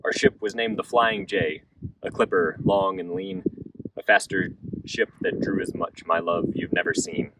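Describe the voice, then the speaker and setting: Gravelly man reciting a dramatic sea ballad. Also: sits low, very animated, moderate pace, far from the mic, very noisy.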